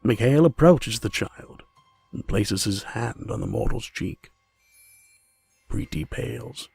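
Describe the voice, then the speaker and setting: A man narrating a scripted fantasy audio drama as a measured storyteller. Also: fairly low pitch, lively intonation, creaky, a little mellow, breathy edge, no echo, heavily acted. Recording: music under the voice.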